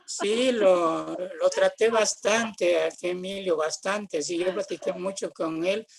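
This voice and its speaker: A man's voice: high voice, takes it slowly, gravelly, crowd noise. An older man with a Mexican accent chatting casually in a rambling, repetitive way, telling anecdotes on a video call. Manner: rambling, repeats phrases. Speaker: guest, theatre artist.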